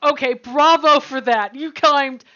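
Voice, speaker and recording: Female livestream co-host, high bright voice, casual chatty banter. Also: steady tone, unhurried. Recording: dull, slightly muffled livestream audio.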